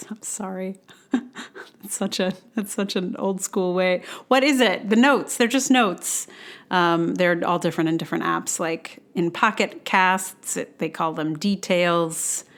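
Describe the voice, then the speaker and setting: A woman's voice: lowish voice, a little slow, a bit bright. A middle-aged woman hosting a podcast solo in casual, rambling talk that often interrupts itself and apologizes. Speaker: podcast host.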